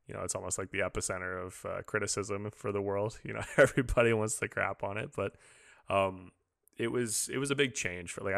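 A man's voice: low voice, gravelly, a bit bright, no echo, clean studio sound. A young man from Liverpool with an American accent, hosting a podcast in an enthusiastic, casual way. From Liverpool, England. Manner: enthusiastic, casual, says dude a lot. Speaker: podcast host. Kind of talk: casual interview chat.

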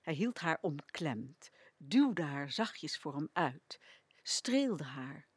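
A woman narrating a story for a radio play in an expressive, deliberate way. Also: deep voice, husky, dry recording.

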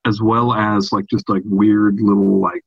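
A man co-hosting a casual podcast discussion about fiction, talking in a halting way with repeated and restarted phrases. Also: fairly low pitch, little pitch movement, vocal fry, husky. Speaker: podcast co-host. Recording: dull, roomy lo-fi sound.

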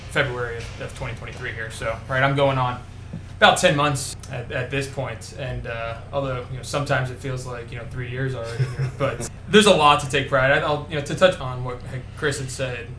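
A man answers slowly and haltingly, restarting his sentences often. His voice is bright and forceful. The recording is echoey, with faint noise behind him. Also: medium pitch, steady tone. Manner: halting, many fillers and restarts. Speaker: brewer. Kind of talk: casual interview answers. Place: brewery taproom.

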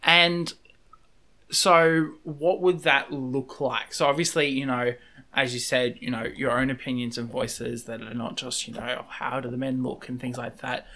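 Bright-voiced woman interviewer. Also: very deep voice, steady tone, normal speed. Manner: encouraging, rambling questions with fillers. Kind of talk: casual interview.

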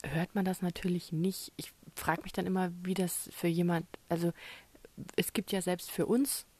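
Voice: medium pitch, level tone, normal speed, darkish tone, no room sound. A novelist from Germany talking through a rambling solo monologue, musing aloud and often dropping into a whisper.

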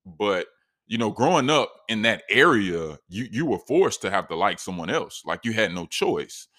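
A very deep-voiced man in his late thirties from North Carolina speaks in a loose, rambling, conversational way, full of restarts and asides. His voice is a little scratchy, and the sound is dry and close with no room echo. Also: sing-song, moderate pace, sharp tone. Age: 30s. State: scratchy voice, sipping tea. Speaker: sports fan commentator. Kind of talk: casual monologue.

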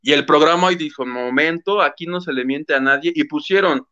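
A man's voice: fairly high pitch, fairly quick, bright, forceful tone, highs cut off. A man hosting a gossip show, telling the story animatedly and acting out quotes. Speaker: show host. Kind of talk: casual gossip commentary.

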